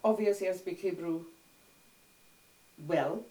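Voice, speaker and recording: An older woman whose first language is Hungarian, calmly recalling her childhood in an oral history interview. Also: fairly low pitch, takes it slowly. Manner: reflective, plain-spoken storytelling. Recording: roomy sound from a distant mic.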